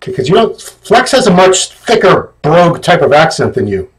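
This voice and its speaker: A man hosting a casual podcast, chatting in a relaxed, joking way. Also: on the high side, moderate pace, a crowd in the background, low-quality recording. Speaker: host.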